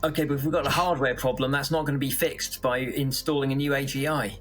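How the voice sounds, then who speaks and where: A rattled male manager played by a man with a medium-pitched voice. He talks haltingly, repeating himself with cautious worry, in a sci-fi drama with faint music underneath. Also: steady tone, fairly bright tone, close to an everyday voice. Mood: flustered, worried. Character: flustered, cautious male manager of space factory.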